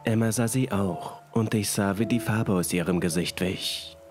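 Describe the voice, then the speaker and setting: Man, low voice, horror story narration, scripted, background music, rain. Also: normal speed. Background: music and rain sounds.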